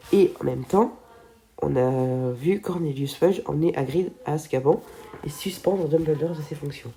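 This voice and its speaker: A woman's voice: low voice, mellow. A teenage girl with a stuffy head cold, chatting casually through a film video and tripping over her words. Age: teens.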